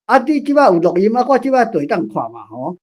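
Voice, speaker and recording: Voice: mid-range voice, sing-song, fast talker, darkish tone. An elderly speaker in their eighties with a Taiwanese accent, sharing casually in a group discussion in short fragments full of tag particles. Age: 80s. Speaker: participant in an online play-reading forum.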